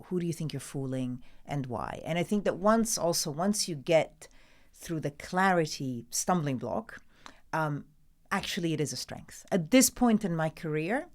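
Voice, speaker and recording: A middle-aged woman with an Italian accent speaks in a low voice that swoops up and down a lot. She talks casually and emphatically, as a broadcaster chatting about her work. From Italy. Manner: animated, emphatic, laughs often. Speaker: guest, TV newsreader. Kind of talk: casual interview chat.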